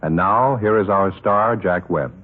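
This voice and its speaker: A man's voice: sits low, measured pace. A man doing formal, solemn scripted announcing for a 1950s radio broadcast. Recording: tinny old radio, loud music. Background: loud music.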